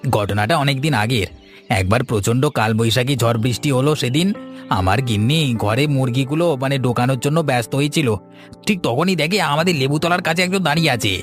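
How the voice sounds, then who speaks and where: A man's voice: medium pitch, brisk, faint music behind, close to an everyday voice. A man voicing a timid, deferential village shopkeeper in a cartoon ghost story. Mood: worried. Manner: nervous, deferential. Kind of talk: animated ghost story dialogue.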